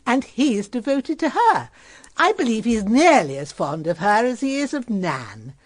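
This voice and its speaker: An actress playing a soothing, optimistic older British lady in a period radio drama, gently reassuring and unhurried. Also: on the high side, very animated, dry recording, studio quality. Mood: fond, placid.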